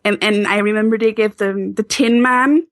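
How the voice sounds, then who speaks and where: A woman's voice: mid-range voice, moderate pace, no room sound, clean studio sound. A Swiss woman with a Swiss German accent, chatting casually as a podcast guest, laughing often and restarting sentences. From Switzerland.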